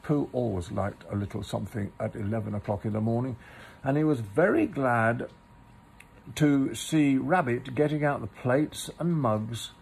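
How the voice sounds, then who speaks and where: A man's voice: mid-range voice, moderate pace, creaky, a little mellow, slight background noise, low-quality recording. A man narrating a children's story aloud, with explanatory asides to the listener. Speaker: storybook reader.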